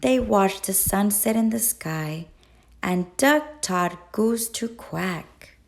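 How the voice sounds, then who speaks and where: Woman teacher, storybook read-aloud, gentle, slow, very expressive. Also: medium pitch, creaky. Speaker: teacher reading to kids.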